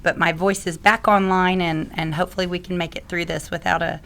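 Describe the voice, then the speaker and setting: Raspy, low-voiced woman, flat delivery. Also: a little fast. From Oklahoma. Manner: friendly, fluent explaining with frequent ums. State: hoarse from allergies. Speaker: podcast guest, risk advisor and attorney. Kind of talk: conversational podcast interview.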